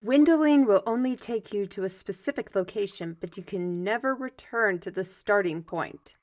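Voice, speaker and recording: A woman acts out a theatrical artist mage from a fantasy audio drama. She speaks in a mid-range voice with formal, old-world wording. Her delivery is expressive, like a mentor who tells tales, with sighs and small asides to herself. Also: mid-range voice, normal speed, lightly acted. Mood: explaining. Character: lively, theatrical artist mage with a magic paintbrush, storytelling mentor. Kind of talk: fantasy audio roleplay, ASMR.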